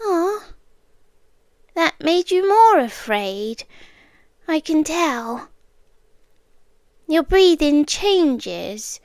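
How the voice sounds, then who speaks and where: A British woman playing an obsessive, possessive young girlfriend in an intimate ASMR roleplay, soft and deliberate, sweetly menacing, slipping into whispers. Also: sits high, very animated, dry recording, studio quality, exaggerated voice. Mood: possessive, calm. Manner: slow, deliberate, coaxing, with pauses and whispered words. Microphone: close, dry microphone.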